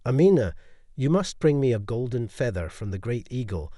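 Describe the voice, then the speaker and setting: A man acts out a mighty, aging male chief from a storybook folktale, grave and wise. He declaims with big rises and falls in a mellow tone, recorded dry and close. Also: medium pitch, big pitch swings, normal speed, no room sound. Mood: commanding.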